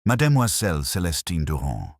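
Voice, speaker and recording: A man's voice: deep voice, huge pitch swings, fairly quick, no room sound, clean studio sound, heavily acted. A man voicing a weary middle-aged police inspector, solemn and formal, in a storybook reading. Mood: soft, solemn.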